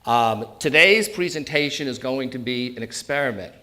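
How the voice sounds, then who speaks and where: A man's voice: mid-range voice, lively intonation, normal speed, bright, forceful tone. A man in his sixties with a New England accent, giving an informal live talk and bantering jokingly with his audience. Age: 60s. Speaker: presenter, elder law attorney.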